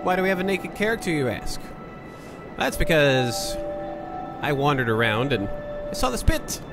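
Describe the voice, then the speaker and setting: Congested man narrating gameplay, lively pitch. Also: moderate pace. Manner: chatty, self-talking, reacts aloud to gameplay. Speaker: gaming video host. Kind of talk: casual let's-play commentary. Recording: loud game music behind voice.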